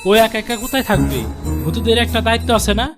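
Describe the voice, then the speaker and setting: A woman voicing a soft-hearted young female ghost pupil in a comic cartoon. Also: on the high side, lively intonation, fast talker, loud music behind the voice, natural voice. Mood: pleading.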